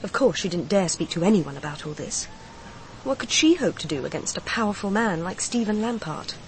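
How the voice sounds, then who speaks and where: An actress playing a grieving mistress confiding in a detective in a radio crime drama, speaking in a measured, confessional way. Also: female voice, mid-range voice, lively intonation, a little hiss or hum, lightly acted. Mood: resigned, bitter.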